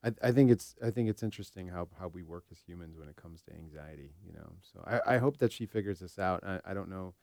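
A man's voice: low voice, fast talker, vocal fry, few pauses, a little mellow, no echo, studio quality. A man co-hosting a podcast in a casual, informal way, thinking aloud with some hesitation. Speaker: podcast co-host. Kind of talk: casual chat.

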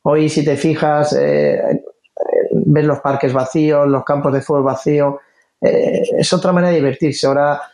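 A man's voice: on the high side, a little mellow. A middle-aged man from Galicia with a faded Galician accent mixed with other Spanish, an interview guest who talks earnestly and hesitantly, often repeating words. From Galicia, Spain. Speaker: interview guest, former football player. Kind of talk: casual conversational interview.

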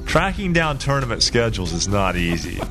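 A man hosting a high school sports radio show, chatty and laughing often, his voice a little rough from lack of sleep. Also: sing-song, a little fast, music in the background.

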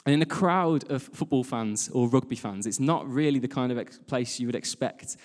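A man's voice: medium pitch, moderate pace, a little mellow. A British man giving an informal sermon in a chatty, jokey way, speaking as a church worship leader and engaging his listeners with questions. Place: large church hall in front of a congregation. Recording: reverberant hall, live church talk.

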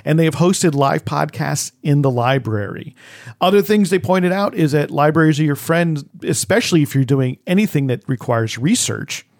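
A man co-hosting a podcast, explaining things in a measured, conversational way. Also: fairly high pitch. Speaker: podcast co-host.

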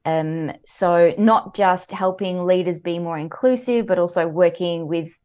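A woman's voice: on the low side, measured pace, a little mellow. A woman with an Australian accent, a guest author on a podcast, telling personal stories animatedly and laughing easily. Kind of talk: conversational interview.

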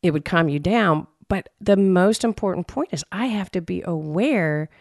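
A woman with a low, gravelly voice co-hosts a podcast discussion. She talks intensely and emphatically, and her voice climbs when she is animated. The sound is close and dry. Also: moderate pace, dry recording. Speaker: podcast co-host.

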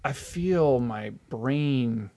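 A man's voice: mid-range voice, animated, very slow, dark tone. A man chatting casually as a podcast guest, informal and jokey. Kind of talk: casual chat, answering quick-fire questions.

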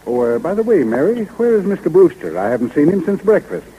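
Slangy high-pitched 1930s radio boarder. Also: male voice, sing-song, fast, phone-quality sound, a little hiss or hum. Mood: blunt, teasing. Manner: slangy, blunt. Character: slangy, blunt male boarder at lunch table. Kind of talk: 1930s radio comedy acting. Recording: old 1930s radio recording.

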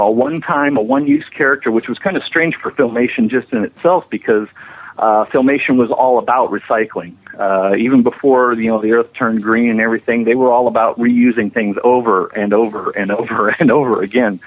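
A man's voice: mid-range voice, brisk, dry recording. A middle-aged man chatting casually as an interview guest, rambling along with self-deprecating jokes. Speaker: interview guest, special effects animator. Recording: narrow-band phone or internet call.

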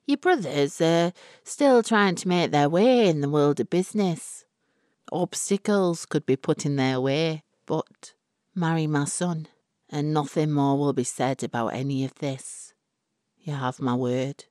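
A woman voicing a cold, scheming older matriarch who speaks with measured, genteel condescension in a story reading. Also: sits low, darkish tone, dry recording, studio quality. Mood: smug threat.

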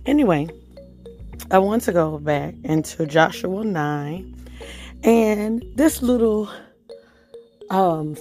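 A woman hosting a devotional podcast, talking hoarsely in a casual, conversational way and reading scripture aloud. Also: medium pitch, expressive, slow pace, pauses often, dark tone, soft background music. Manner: conversational, reads scripture aloud, chatty fillers. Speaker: podcast host.

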